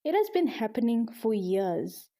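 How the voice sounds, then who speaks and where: A woman from South Africa narrating a scripted video essay in a measured, explanatory way. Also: fairly high pitch, very animated, mellow.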